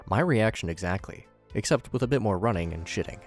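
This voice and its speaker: A man's voice: fairly low pitch, animated, fairly quick, soft background music. A man narrating a scripted recap with commentary, adding wry, joking asides.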